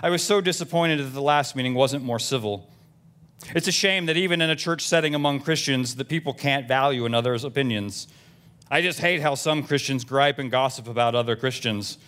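A man's voice: medium pitch, brisk, fairly bright tone, clear, resonant tone, lightly acted. Man reading as a blunt, gossipy old church lady. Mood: aggrieved, gossipy. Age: seventies. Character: nosy, self-righteous Southern church lady in her seventies. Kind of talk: comic reading of emails aloud.

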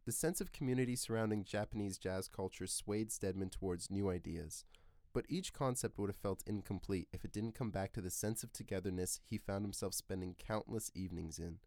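Male podcast host, low pitch, close dry sound, scripted narration, earnest literary delivery. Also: fairly quick, a little mellow, no echo.

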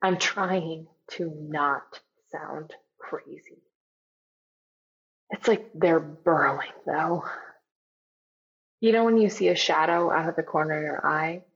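Muffled diary tape, actress as anxious sleepless young woman, low voice, slow, uneasy. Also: gravelly, full character voice. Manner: uneasy, confessional. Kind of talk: found-footage audio drama diary monologue. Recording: dull lo-fi found-footage diary recording.

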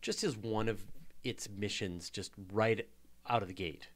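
A man with a Canadian accent hosting a live space podcast, relaxed and reading out viewer questions. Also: fairly low pitch, measured pace. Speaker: podcast host. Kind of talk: casual live Q&A chat.

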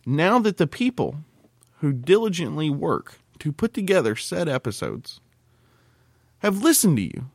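A man's voice: a little high, big pitch swings, a little slow, creaky, a little mellow, husky, dry recording. An American man co-hosting a casual podcast, talking in a blunt, crude, colloquial way. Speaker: podcast co-host.

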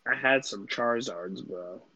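A man's voice: mid-range voice, a little slow, pushed and bright, no room sound, muffled recording. A man co-hosting a podcast in casual, profane banter, using a lot of bro-style slang. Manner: slangy, says "bro" often. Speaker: podcast co-host.

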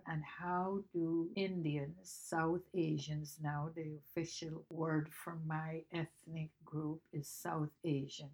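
An elderly woman in her eighties from Guyana, speaking with a notably low voice. She tells her life story in a thoughtful, measured way, sometimes correcting herself mid-sentence. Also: gravelly, darkish tone, husky. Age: 80s. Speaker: interviewee, grandmother. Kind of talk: reflective storytelling.

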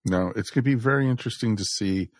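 Low-voiced man bantering as a podcast co-host. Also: fast talker, no room sound, studio quality. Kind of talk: casual banter and short interjections.

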